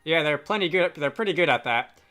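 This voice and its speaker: A man reads the part of a young sailor in a fantasy game, easygoing and curious. The voice is bright, lifted a little high, and plain-spoken, over music. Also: lively intonation, fairly quick. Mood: fond.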